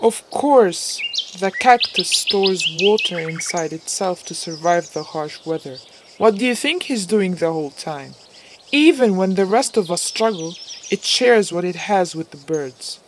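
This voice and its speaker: A woman playing a wise, kindly pine tree in a children's audio story, earnest and gently chiding. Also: on the low side, lively intonation, measured pace, noisy background. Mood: patient explaining.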